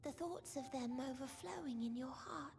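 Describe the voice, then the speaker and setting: A woman voicing a gentle, sorrowful, childlike otherworldly girl in a video game cutscene, softly and breathily, with tender, wistful solemnity. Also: sits high, normal speed, a little hiss or hum. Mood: wistful, awed. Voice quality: soft, breathy.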